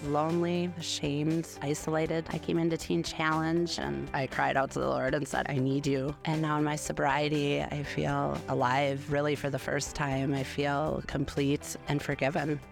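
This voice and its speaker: A recovering addict giving a slow, halting, heartfelt personal testimony in a radio spot. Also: medium pitch, flat delivery, creaky, over music.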